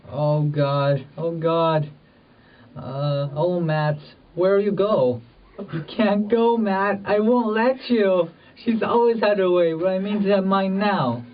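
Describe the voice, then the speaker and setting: A man playing an anguished, impulsive rural husband in love, fervent and halting, in an amateur drama. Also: sits high, fairly even intonation. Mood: anguished defiance. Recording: dull, distant microphone.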